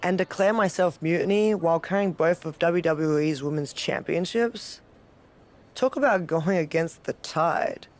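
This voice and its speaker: A woman's voice: lowish voice, measured pace, lightly acted. A woman playing a cocky, rebellious champion wrestler in a video game cutscene, speaking with dry, teasing self-assurance. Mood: dry, confident.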